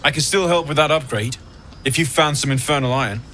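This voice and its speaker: Bright, earnest young-hearted smith. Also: male voice, a little high, brisk, slight background noise. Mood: businesslike. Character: friendly, plain-spoken male refugee blacksmith. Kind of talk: fantasy game dialogue.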